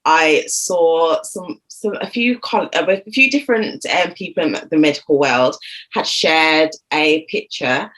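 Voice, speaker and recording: A woman's voice: sits low, a little slow, fairly bright tone, low-quality recording. A woman in her thirties, a GP hosting an interview on a video call, chatty and rambling with lots of fillers. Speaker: host, GP. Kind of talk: casual interview chat.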